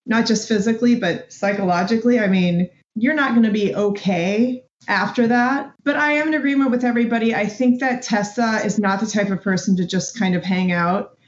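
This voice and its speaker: A woman in a casual panel discussion, reasoning aloud in a thoughtful, measured way. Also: medium pitch. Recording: echoey room, dull lo-fi audio.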